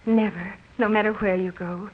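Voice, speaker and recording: An actress playing a devoted, romantic young sweetheart, speaking earnest and solemn vows in 1940s radio drama style. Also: female voice, medium pitch, steady tone, moderate pace, natural voice. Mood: tender, resolute. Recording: muffled old radio recording with music. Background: music.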